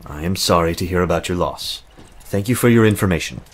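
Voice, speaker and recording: Casting: male actor as grim, absent-minded necromancer, very low voice, curt delivery, visual novel game. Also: a little fast, a little hiss or hum, close to an everyday voice. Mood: preoccupied, measured. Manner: curt, preoccupied.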